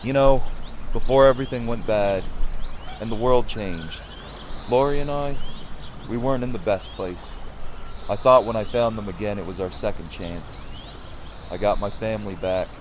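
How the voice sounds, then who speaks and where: An actor playing a weary, earnest community leader in an audio drama, with a measured delivery. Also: male voice, lowish voice, lightly acted. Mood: regretful, earnest. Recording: clearly noisy outdoor ambience behind voice.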